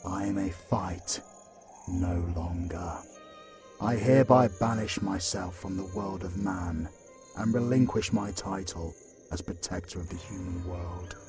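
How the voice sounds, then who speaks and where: A man acts as an ancient creator god weary of his own power. His voice is very deep and unhurried, with music behind it. He speaks in a grave, remorseful fantasy-drama manner. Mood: grave farewell. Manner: solemn, slow, grave. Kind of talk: fantasy audio drama acting.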